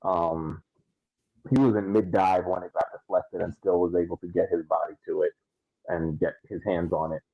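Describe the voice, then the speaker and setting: A man on a soccer podcast giving a casual match recap in a hesitant, halting way, on a slightly muffled mic. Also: low-pitched, flat delivery, no room sound.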